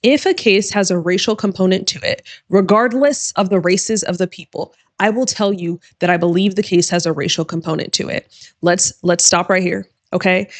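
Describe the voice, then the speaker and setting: A woman lawyer host talks in a casual, emphatic way, and her voice is going hoarse. She speaks directly to her viewers. The sound is dry and close. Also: medium pitch, no echo. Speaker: criminal attorney and channel host. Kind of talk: casual reaction commentary to camera.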